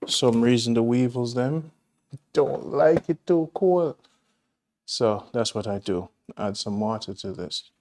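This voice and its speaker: A Jamaican man talks his viewers through a recipe in his own kitchen, unhurried and easygoing. His voice sits at an ordinary middle pitch, and he drifts into chatty, homely asides between steps. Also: lively intonation, mellow, slight background noise. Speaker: cooking show host. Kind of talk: casual cooking demonstration. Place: home kitchen.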